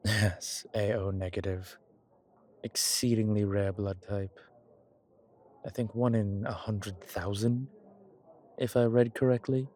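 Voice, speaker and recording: Deep, slow-spoken genteel vampire played by a man. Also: fairly even intonation, darkish tone. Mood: measured, explaining. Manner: genteel, measured, hesitant pauses, old-fashioned formal wording. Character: centuries-old courteous male vampire, refined and affectionate. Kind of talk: intimate scripted audio roleplay to a listener.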